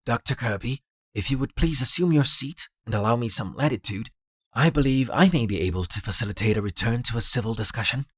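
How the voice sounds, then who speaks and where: A man's voice: medium pitch, a little fast, a bit bright, breathy edge, no room sound. A man voicing a formal official in an audiobook, polite, precise and wordy. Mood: polite, firm. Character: formal, measured, diplomatic official smoothing things over.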